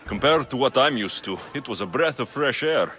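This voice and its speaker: An actor playing a young Greek mercenary hero in a video game, terse, serious and determined. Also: male voice, medium pitch, expressive, normal speed, bright, forceful tone. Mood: businesslike. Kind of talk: video game dialogue acting.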